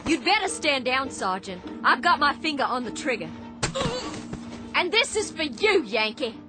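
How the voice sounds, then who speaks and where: A vengeful widow. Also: female voice, big pitch swings, normal speed. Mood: menacing. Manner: low, grim and threatening, breaking into panic. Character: bitter Southern widow with a shotgun, vengeful. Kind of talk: radio drama acting. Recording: drama with music underneath.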